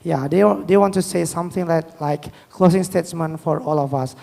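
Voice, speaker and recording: A man's voice: fairly high pitch, a little fast, gravelly, dark tone. A man with an Indonesian accent moderating a live panel in informal, simple English, often repeating okay and yeah. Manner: repetitive okays and yeahs. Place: hall on a stage, live event. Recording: echoey hall sound.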